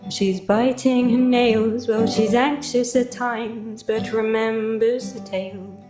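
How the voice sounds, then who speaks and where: Woman singing slow folk over guitar. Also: fairly high pitch, fairly even intonation, reverberant. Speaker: singer-songwriter. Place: room at home. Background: acoustic guitar.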